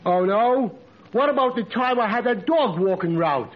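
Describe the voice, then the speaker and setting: An actor playing a dim-witted, good-natured barfly with a halting New way of talking, in old radio comedy style. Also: male voice, high-pitched, expressive, highs cut off. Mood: dopey, hopeful. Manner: dopey, halting. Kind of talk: 1940s radio comedy acting. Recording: noisy old radio recording before live audience.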